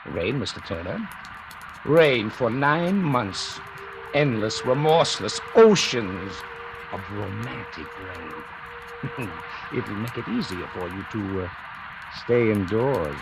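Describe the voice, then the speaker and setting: An actor playing a smug, needling, wealthy sugar planter in 1940s radio drama, with a sardonic, businesslike manner. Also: male voice, on the low side, expressive, poor audio. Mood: mocking. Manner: sardonic, taunting, brisk businesslike. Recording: old radio recording with music.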